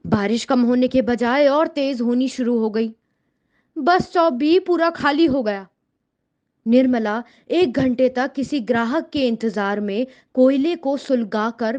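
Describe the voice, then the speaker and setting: Casting: female narrator for children's moral stories, high-pitched voice, scripted storytelling, slightly dull lo-fi sound. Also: a little mellow.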